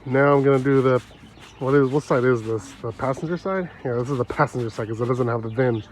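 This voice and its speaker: A man's voice: mid-range voice, fairly quick, a little hiss or hum. A congested man chatting casually as he works on his car outdoors, filming on a phone, laughing often. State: congested, stuffy nose. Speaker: car hobbyist vlogger. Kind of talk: casual how-to vlog narration. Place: outdoors in a yard. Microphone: phone held while working.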